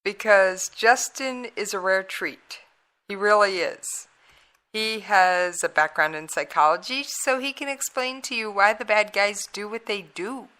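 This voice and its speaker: A woman's voice: medium pitch, measured pace, sharp tone. A woman hosting an internet radio talk show, chatty and folksy, who is losing her voice.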